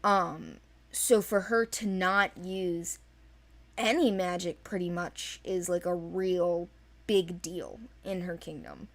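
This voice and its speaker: A woman's voice: on the low side, steady tone, slow pace. A woman chatting casually and enthusiastically about characters, rambling in an informal way. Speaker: animation project team member. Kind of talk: casual chat discussing characters.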